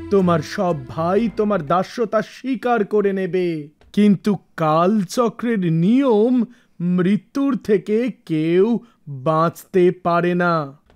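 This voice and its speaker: A man voicing a grave, benevolent creator god in an animated myth, speaking in stately, solemn pronouncements. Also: high voice, takes it slowly, faint music behind. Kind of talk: animated mythology story acting.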